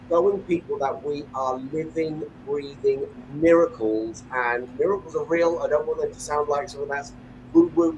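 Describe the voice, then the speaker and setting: A man's voice: fairly high pitch, slight background noise, low-quality recording. A man introducing himself as a panel guest on a video call, fervent and emphatic. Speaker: panel guest, retreat leader.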